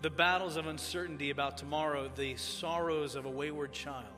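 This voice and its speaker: A man from Tanzania preaches with a bright, forceful voice that sits a little high for a man. His delivery is earnest and measured, in the steady cadence of a sermon. The sound carries a long church reverb with faint music beneath it. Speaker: pastor. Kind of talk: sermon with opening prayer. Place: church sanctuary.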